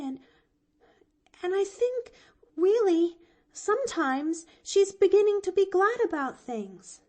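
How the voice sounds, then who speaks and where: An eager little girl pleads in a high, lifted voice that stumbles over its words, as a woman reader puts her on. The delivery is unhurried, and the tone stays soft and mellow. It sounds like a storybook read aloud. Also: exaggerated voice. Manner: stammering, eager, high and bright.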